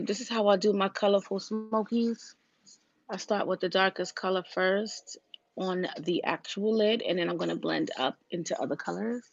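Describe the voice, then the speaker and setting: Woman, raspy voice, chatty makeup tutorial, livestream, dry, muffled. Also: medium pitch, no echo. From Virginia, US. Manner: chatty, talks viewers through each step. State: raspy, deeper voice than usual.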